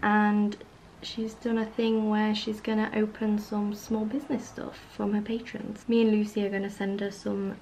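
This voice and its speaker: A woman's voice: medium pitch, moderate pace, slight background noise, poor audio. A young woman in her twenties chatting casually to camera about her small shop while crafting in her home studio. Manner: chatty, relaxed, trails off with fillers. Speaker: vlogger and small shop owner. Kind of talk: casual vlog talk to camera.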